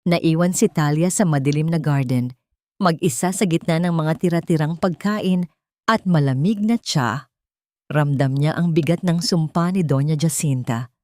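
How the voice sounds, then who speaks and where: A woman's voice: sits low, normal speed, mellow, no room sound. A woman reading a dramatic scripted story as an audiobook narrator.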